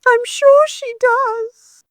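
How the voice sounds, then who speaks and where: A woman's voice: very high-pitched, steady tone, very slow, dry recording, exaggerated voice. An actress playing a bitter, manipulative older mother-in-law in an audio drama, theatrical and guilt-tripping. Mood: tearful, pleading.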